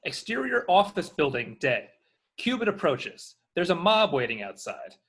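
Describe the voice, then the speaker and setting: A man's voice: a little high, big pitch swings, normal speed, a bit bright, husky. A man reading screen directions as scripted narration at a table read.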